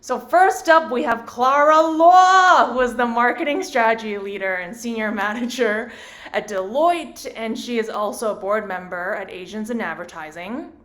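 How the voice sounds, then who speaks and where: A woman's voice: a little high, normal speed, fairly bright tone. A woman from Hong Kong moderating a virtual panel, upbeat and chatty.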